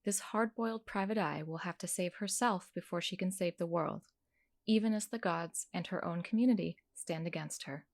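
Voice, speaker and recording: A woman's voice: lowish voice, normal speed. A woman reading scripted promotional narration as an advert announcer.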